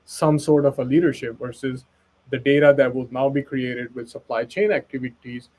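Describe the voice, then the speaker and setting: A man from Pakistan talks through his ideas in a relaxed webinar conversation. He sounds earnest and persuasive and leans on fillers like "like" and "right?" as he goes. His pitch sits in a middle range. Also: moderate pace, poor audio. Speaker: expert guest.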